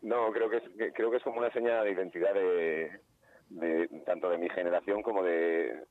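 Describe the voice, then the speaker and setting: A man from Spain speaks as a guest in a relaxed radio interview, thinking aloud and restarting his sentences as he explains. His voice is very low. He comes through a thin, phone-like line. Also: moderate pace. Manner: thoughtful, with many restarts. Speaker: playwright and actor guest.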